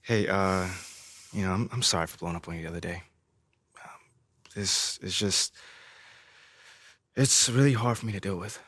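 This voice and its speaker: A man playing a frustrated young rookie basketball player in a video game scene, with a husky, conversational, defensive delivery. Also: low voice, moderate pace, long pauses, a bit bright, dry recording. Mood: subdued. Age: young adult. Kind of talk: naturalistic video game cutscene acting.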